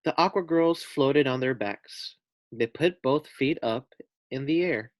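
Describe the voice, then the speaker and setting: A man reading a children's storybook aloud as a teacher, with deliberate, clear phrasing. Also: medium pitch, dry recording.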